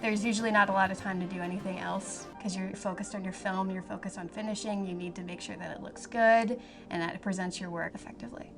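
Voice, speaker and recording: A woman's voice: mid-range voice, fairly even intonation, fast, vocal fry. A young woman, an animation student, talking reflectively in a documentary-style interview. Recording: music behind the voice. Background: music.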